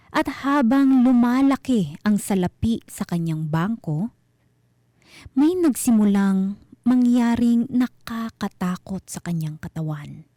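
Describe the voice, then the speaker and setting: Dark-toned woman narrating radio horror. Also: mid-range voice, no echo, studio quality. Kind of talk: scripted dramatic horror storytelling.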